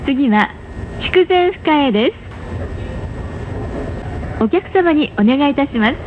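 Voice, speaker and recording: A woman's very high voice delivers scripted announcements on a train. She is polite and formal, enunciating each phrase in a measured way. The sound is dull and muffled, with clear rumbling train noise behind it. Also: expressive. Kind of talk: pre-recorded scripted public announcement. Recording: muffled, noisy onboard train speaker recording. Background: rumble of a moving train.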